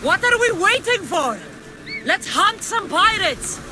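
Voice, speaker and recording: An actress playing a young, wry and determined mercenary warrior in a video game. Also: female voice, very high-pitched, very animated, slow pace, very noisy, exaggerated voice. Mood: loud, exasperated. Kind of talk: video game cutscene acting.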